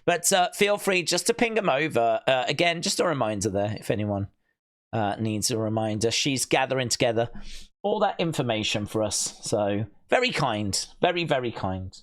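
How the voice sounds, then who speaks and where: A man's voice: mid-range voice, animated, moderate pace. Chatty man hosting a casual fan show. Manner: chatty, informal, jokey asides. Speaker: wrestling review show host. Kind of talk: casual chat, reading out viewer comments.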